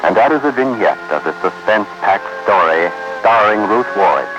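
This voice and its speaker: A man hosting and narrating a 1950s radio suspense show in a solemn, deliberate, dramatic manner. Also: lowish voice, animated, lo-fi sound, music in the background. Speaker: host and narrator. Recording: vintage 1950s radio recording.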